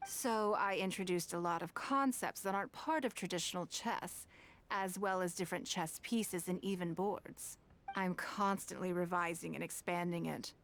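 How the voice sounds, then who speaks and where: An actress playing a composed, shrewd businesswoman in a video game, speaking in a smooth, measured way. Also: fairly low pitch, fairly bright tone, slight background noise. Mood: thoughtful, proud. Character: poised, wealthy businesswoman and chess designer, composed and shrewd. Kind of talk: scripted video game dialogue.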